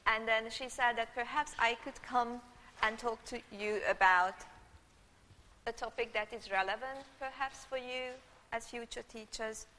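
Bright-voiced Hungarian woman lecturing in a hall. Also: fairly high pitch, steady tone, a little slow, a little hiss or hum. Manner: chatty, checks in with the audience often. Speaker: university lecturer. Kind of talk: live university lecture. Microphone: room microphone. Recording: long hall reverb.

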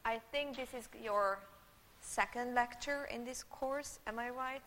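A woman's voice: a little high, a little slow, fairly bright tone, slight background noise. A middle-aged Hungarian woman and university lecturer, a native Hungarian speaker, giving a live lecture into a room microphone, chatty and checking in with her audience often. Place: lecture hall. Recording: long hall reverb.

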